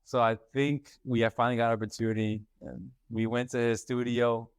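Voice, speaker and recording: Young male rapper, casual storyteller, mid-pitched, dry close mic. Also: little pitch movement, brisk, smooth, no echo. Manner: loose storytelling, casual slang. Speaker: rapper guest. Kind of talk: casual chat. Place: studio room.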